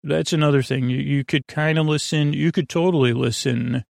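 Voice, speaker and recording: A man's voice: a little high, fairly even intonation, normal speed, creaky, a little mellow, no echo, clean studio sound. A man hosting a bedtime podcast, rambling in a friendly, meandering way, often trailing off and restarting. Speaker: podcast host.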